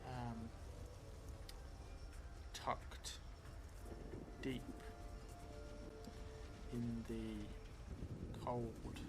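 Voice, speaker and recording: A middle-aged man improvising narration for a solo tabletop game, thinking aloud thoughtfully in halting, short phrases. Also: medium pitch, level tone. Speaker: solo role-playing streamer. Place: indoor room set up for streaming, seated at a table. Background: music.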